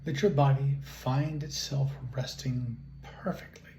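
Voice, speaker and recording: Slow-spoken man guiding meditation, roomy echo. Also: medium pitch, creaky. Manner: calm, soothing, measured with long pauses. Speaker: guided imagery and relaxation instructor. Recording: roomy echo, faint background noise.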